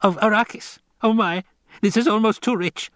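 A man's voice: mid-range voice, animated, moderate pace, no room sound, studio quality, pitched above the performer's own voice, close to an everyday voice. A man voicing a slender, effeminate assassin advisor in an audiobook, sly and mocking, with a sweet, musical tenor and lilting delivery. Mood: gleeful.

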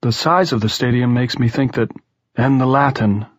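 A man's voice: medium pitch, normal speed, no echo, natural voice. A man doing a dry-witted academic man.

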